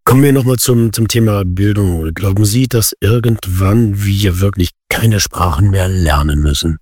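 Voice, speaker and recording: A man's voice: on the low side, normal speed, darkish tone, husky, no room sound, clean studio sound. A man working as a journalist, hosting a radio interview and asking questions in a formal, courteous way.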